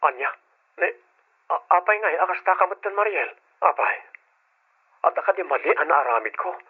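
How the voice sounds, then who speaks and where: Puzzled lovesick suitor in old ilocano radio play, rough-edged plaintive voice, tinny phone line. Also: male voice, sits high, expressive, moderate pace. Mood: puzzled, pleading. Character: earnest, puzzled male suitor pleading for attention.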